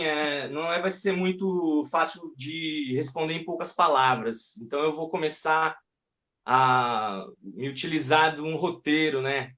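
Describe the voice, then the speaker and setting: A man's voice: a little high, a bit bright. Man in his thirties, hesitant rambling speech, video call interview. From Paraná, southern Brazil. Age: 30s. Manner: rambling, hesitant, many restarts and fillers. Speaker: guest, actor and puppeteer. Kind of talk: live-stream interview.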